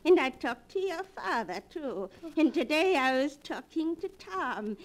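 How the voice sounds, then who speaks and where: An actress playing a bitter older aunt who claims to speak with the dead, in a cold, hushed, ominous way, in a 1940s radio drama. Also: female voice, sits high, big pitch swings, measured pace. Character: bitter old aunt who talks to ghosts. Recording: old radio broadcast recording.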